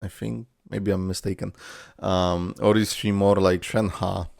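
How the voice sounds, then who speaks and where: A man's voice: low-pitched, level tone, a little slow, vocal fry, darkish tone, no echo, clean studio sound. A man giving casual, playful reaction commentary with swearing, his voice tired and strained from a lot of talking. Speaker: reaction video host.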